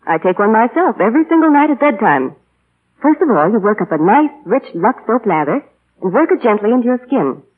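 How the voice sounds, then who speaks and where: A perky, animated radio ad girl. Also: a little high, fairly quick. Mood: perky, coy. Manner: perky, coy, scripted. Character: chirpy, coy young woman praising beauty soap. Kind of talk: 1940s radio advertising. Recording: tinny, rough 1940 radio broadcast.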